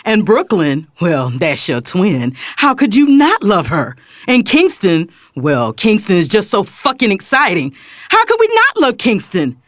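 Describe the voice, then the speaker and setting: An actress playing a defiant, wounded adult daughter who is cutting and sarcastic in an audio drama. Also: female voice, mid-range voice, huge pitch swings, a little slow, dry recording, clean studio sound. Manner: sarcastic, taunting.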